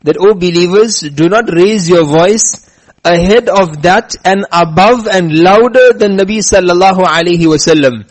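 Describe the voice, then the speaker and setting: A man with a rather high voice delivers a religious talk. He slows down to stress his lessons and tells a story as he goes. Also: low-quality recording. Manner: emphatic, slows down to stress points. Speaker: preacher. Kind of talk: religious lecture with chanted scripture.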